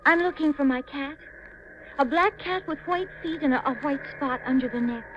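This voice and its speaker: A woman acts a lost, frightened young woman in an old radio mystery, her voice very high and fragile. She speaks haltingly and plaintively, on a muffled, slightly hissy vintage recording. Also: close to an everyday voice. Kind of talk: 1950s radio mystery acting.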